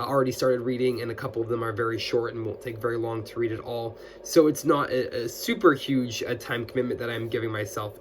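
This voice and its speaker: A young man who is getting over an illness and still sounds scratchy, chatting casually about his new books as a book video host, with a lot of fillers and restarts. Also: mid-range voice. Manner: friendly, with many fillers and restarts. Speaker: booktuber. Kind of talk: casual book haul chat.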